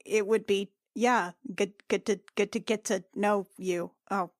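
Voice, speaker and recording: Slow, hesitant woman at a game table. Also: medium pitch, fairly even intonation, no echo, studio quality. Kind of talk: casual roleplay and table chat.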